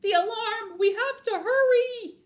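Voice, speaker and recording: Storybook wolf acted by a woman: anxious, exclamatory, pitched well up, urgent delivery. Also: unhurried. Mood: alarmed. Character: male wolf, urgent, anxious gang member.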